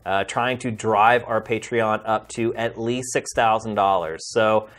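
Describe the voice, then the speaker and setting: A man's voice: lowish voice, steady tone, measured pace, fairly bright tone. A man hosting a games podcast in a studio, talking in a heartfelt, pleading, conversational way. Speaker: podcast host and games journalist. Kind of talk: earnest podcast sign-off.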